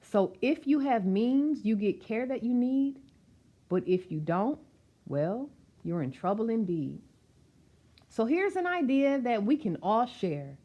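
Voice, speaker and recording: A woman reading a rhyming picture book aloud as a storyteller, expressive and emphatic, with questions and asides to her listeners. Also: fairly high pitch, slow pace, muffled recording.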